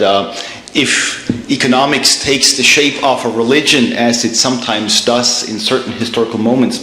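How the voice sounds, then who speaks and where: A middle-aged man from Germany with a German accent, giving a measured public lecture with many uh and um fillers and repeated words. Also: mid-range voice, fairly bright tone, slight background noise. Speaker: guest speaker, theologian. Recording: echoey room, faint ambience.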